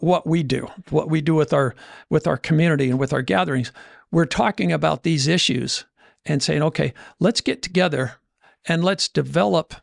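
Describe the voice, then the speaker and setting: A man's voice: fairly high pitch, creaky, dry recording, clean studio sound. A man speaking conversationally as a podcast host and financial coach, motivational in style, often voicing imagined inner dialogue to make his points. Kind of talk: conversational motivational money talk.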